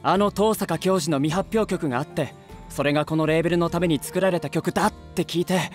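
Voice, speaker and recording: A male actor playing a lively, frank young band singer in an anime voice drama, excitable and casually polite. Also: on the high side, brisk, faint music behind, natural voice. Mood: enthusiastic.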